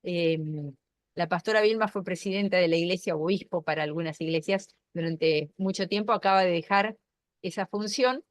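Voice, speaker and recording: Argentine woman pastor explaining calmly. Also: on the low side, normal speed, dry recording, studio quality. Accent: Argentine Spanish. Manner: thoughtful, explanatory, with many fillers and restarts. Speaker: pastor and class host. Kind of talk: online Bible class, explaining and moderating. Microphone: video call.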